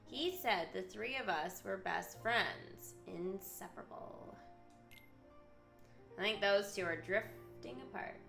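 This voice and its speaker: A woman's voice: medium pitch, slow pace, vocal fry, pushed and bright. A woman streaming a video game, switching between live commentary and reading story text aloud. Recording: roomy echo, game music behind. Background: video game music.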